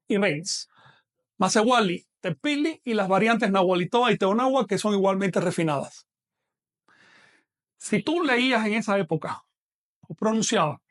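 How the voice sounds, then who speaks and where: A man with a non-Mexican Spanish accent teaching a language class online, explaining at length and checking in with his students. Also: sits high. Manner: explanatory, addresses students directly with check-in questions. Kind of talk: online lecture.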